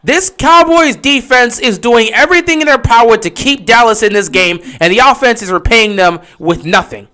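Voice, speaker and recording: A man giving casual live play-by-play commentary on a stream, getting excited and loud on big plays. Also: very high-pitched, normal speed, few pauses. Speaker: livestream game commentator.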